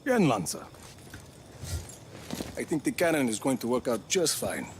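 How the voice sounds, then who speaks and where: A man's voice: mid-range voice, huge pitch swings, unhurried, slight background noise. An actor playing a tough, laconic male action hero in a video game cutscene. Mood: calm, wry.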